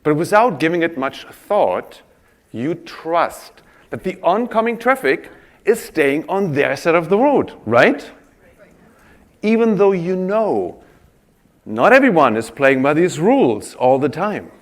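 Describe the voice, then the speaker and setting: A man presents on a conference stage, talking straight to his audience. His voice is a bit higher than most men's and swings widely as he tells his stories. He draws the crowd in as he talks. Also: slow pace. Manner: animated, audience-engaging storyteller. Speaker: conference speaker. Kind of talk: keynote-style presentation.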